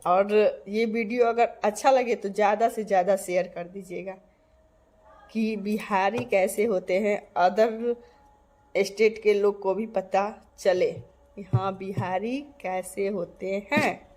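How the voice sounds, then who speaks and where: A woman's voice: medium pitch, steady tone, takes it slowly, darkish tone. A woman from Bihar talking to her channel's viewers in an earnest, emphatic way, repeating her points. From Bihar, India. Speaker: vlogger. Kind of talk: casual direct-to-camera monologue.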